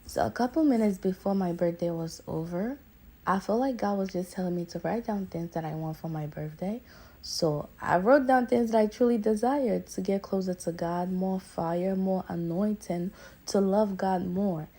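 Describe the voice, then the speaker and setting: A woman's voice: medium pitch, moderate pace, dark tone. A woman in her early twenties chatting casually and directly to viewers in a personal vlog. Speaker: vlogger. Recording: music behind the voice. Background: background music.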